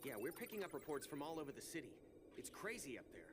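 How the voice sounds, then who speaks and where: A man's voice: a little high, very animated, quick pace, very noisy. An actor voicing a brainy, analytical young male mutant turtle who speaks thoughtfully and precisely. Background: game music.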